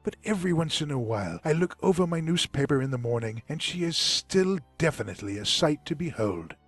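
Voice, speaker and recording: A man playing a dry, husky-voiced control freak. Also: a little high, lively intonation, brisk, no echo, soft background music. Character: guarded self-described control freak man. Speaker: actor. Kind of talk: web drama acting.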